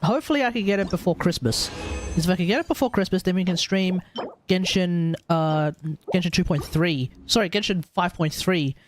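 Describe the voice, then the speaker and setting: A man's voice: high-pitched, fairly quick. An Australian man chatting casually as a game live streamer, rambling and often rewording himself as he goes. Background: game music.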